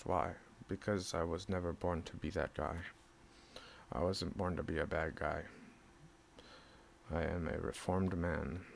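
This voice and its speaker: Gravelly low-voiced rambling man. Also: a little hiss or hum. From Southern California. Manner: rambling, defensive, swearing, with sudden loud bursts. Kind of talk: rambling monologue.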